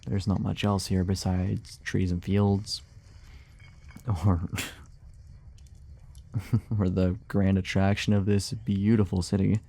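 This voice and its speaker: A weary monster hunter from a fantasy ASMR roleplay, acted by a man, speaks in a dark, mellow voice with plenty of gravel. He takes his time, muttering and hesitating. He grumbles with dry sarcasm, guarded but willing to chat. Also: low-pitched, little pitch movement, slow, long pauses, heavily acted. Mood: teasing, curious.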